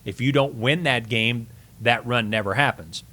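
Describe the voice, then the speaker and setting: A man's voice: mid-range voice, moderate pace, bright, forceful tone. A middle-aged man speaking as an interview guest, telling sports stories casually with reflective, emphatic phrasing. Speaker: sports broadcaster, podcast guest.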